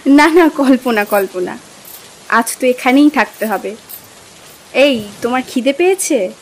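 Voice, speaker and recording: An actress playing an affectionate young wife, tender and expressive, dreamily uneasy, in a dramatized audio story. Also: female voice, high voice, a little slow, slight background noise, rough recording. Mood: soothing, caring. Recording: music and rain behind.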